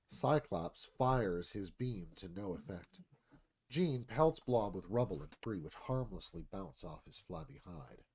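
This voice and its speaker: A man's voice: fairly low pitch, animated, measured pace, a little mellow, husky. A man narrating a screenplay, reading action and stage directions as dramatic scripted narration.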